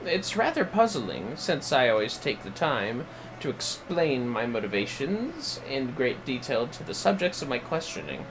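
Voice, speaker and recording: A man acts out a pompous fantasy-game scholar who speaks in formal, haughty, overlong sentences. The delivery is irritable and very animated in pitch, with faint music behind it. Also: measured pace, low-quality recording. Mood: verbose, frustrated. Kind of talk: voiced reading of game dialogue.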